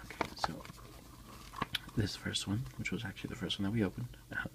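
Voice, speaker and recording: A man speaking in a soft, unhurried, hushed whisper close to the microphone, as an ASMR creator reading aloud and commenting for an unboxing. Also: low voice, fairly even intonation, gravelly, very noisy.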